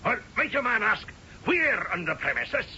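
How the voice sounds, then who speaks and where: Sergeant major, high bright voice, animated, puzzled, military courtesy, radio play. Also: male voice, a little hiss or hum, exaggerated voice. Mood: puzzled, dutiful. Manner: brisk military politeness, bewildered. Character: dutiful, puzzled Scottish pipe sergeant major. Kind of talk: radio drama acting. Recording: dull old radio recording, faint music.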